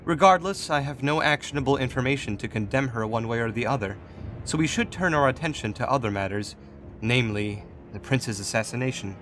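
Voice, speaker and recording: A man playing a composed, calculating god in authority, speaking in a measured, formal, diplomatic way in a fantasy audio drama. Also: mid-range voice, a bit bright, a little hiss or hum, close to an everyday voice. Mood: shrewd, measured. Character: Raphael, composed, calculating male god in authority, gently chiding.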